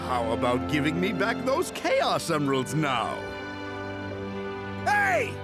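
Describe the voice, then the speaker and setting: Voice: mid-range voice, wildly swooping pitch, slow pace. A performer voicing a hot-headed, indignant animal character in a video game. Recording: game audio under loud music.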